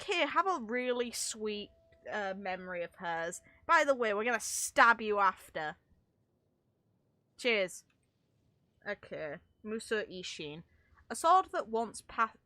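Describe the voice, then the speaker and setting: A woman's voice: mid-range voice, bright, forceful tone, dry recording. A woman reading aloud casually with wry, sarcastic asides and the odd stumble, like a streamer reacting to game lore.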